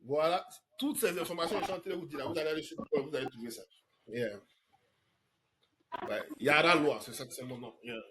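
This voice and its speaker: An emphatic man hosts a live online Q&A, and his voice sits a little on the high side. He repeats himself and slips in English fillers. A faint hiss runs under his voice. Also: brisk, long pauses. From Côte d'Ivoire. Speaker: trading course host answering questions.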